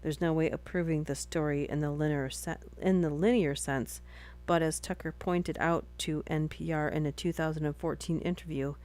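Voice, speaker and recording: Chatty woman streamer, slightly low voice. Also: a little mellow. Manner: chatty, giggly, rambling asides. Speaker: streamer reading articles aloud. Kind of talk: casual live-stream reading and chat.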